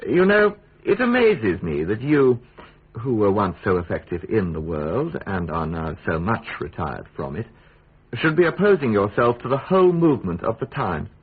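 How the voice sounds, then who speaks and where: An actor playing a silky Tudor royal secretary in a radio drama, British, falsely cordial, probing and precise. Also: male voice, lowish voice, sing-song, normal speed, husky. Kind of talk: period radio drama acting. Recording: muffled phone-like radio drama.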